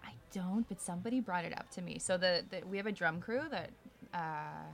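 A woman's voice: fairly low pitch, a little fast, creaky, crowd noise. A woman whose first language is Polish, chatting casually as a podcast guest in a hotel bar, with lots of fillers and easy laughter. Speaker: podcast guest, arena host. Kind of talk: casual interview chat.

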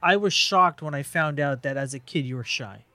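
A man's voice: fairly high pitch, normal speed, pushed and bright, dry recording. A man hosting a podcast from a hotel bar, asking friendly questions with short interested prompts. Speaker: podcast host. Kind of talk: casual interview chat.

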